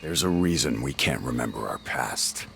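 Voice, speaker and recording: An actor playing a gruff, loyal warrior in a video game, with a dry, blunt, sarcastic delivery. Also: male voice, rumbling low, lively intonation, moderate pace, fairly bright tone, soft background music, exaggerated voice. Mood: matter-of-fact. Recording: clear ambient noise behind voice.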